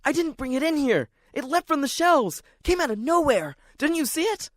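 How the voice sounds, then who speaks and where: A performer voicing an excitable, jittery library regular who tells the story in dramatic, self-questioning first person, as in a radio drama. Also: high voice, moderate pace, no room sound, clean studio sound, voice pushed up. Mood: insistent, pleading. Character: jittery, excitable library regular narrating his own strange chase.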